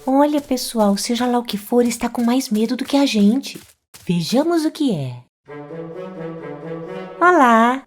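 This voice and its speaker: A woman voicing a cheerful, reassuring young witch girl in a children's cartoon, expressive and soothing, with music behind. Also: fairly high pitch, measured pace, pauses often.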